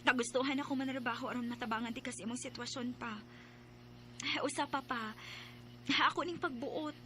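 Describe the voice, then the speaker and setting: A woman's voice: on the high side, fairly even intonation, fairly quick, noisy background, natural voice. An actress with a Filipino Cebuano accent playing a dutiful, burdened young woman newly working in a bar, in an audio drama. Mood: earnest, tender. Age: young adult. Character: young first-time bar worker, dutiful, burdened daughter. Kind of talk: dramatized radio-style storytelling with narration. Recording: background music under voice.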